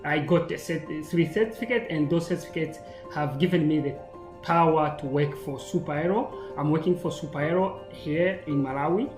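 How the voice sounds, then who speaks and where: Man, French speaker, earnest and stumbling, loud music underneath, somewhat high voice. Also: moderate pace. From DR Congo. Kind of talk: recorded personal testimony. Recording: roomy sound with loud music behind.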